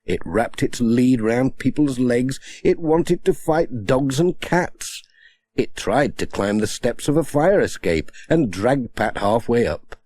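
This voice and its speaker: A man's voice: medium pitch, animated, slow, a little mellow, no room sound. A man reading a scripted children's story as an audiobook narrator.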